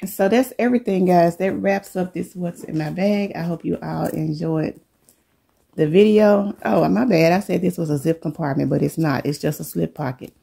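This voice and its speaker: A middle-aged woman coming down with a cold, showing the contents of her bag to viewers in a relaxed, unhurried chat from her living room. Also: lowish voice, creaky, slightly rough. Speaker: vlogger. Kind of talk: casual show-and-tell chat.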